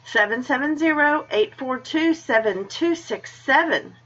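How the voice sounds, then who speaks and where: A woman's voice: high-pitched, animated, unhurried, fairly bright tone, highs cut off. A woman hosting a radio show, chatting and reading scripted announcements. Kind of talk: friendly interview sign-off and scripted sponsor reads.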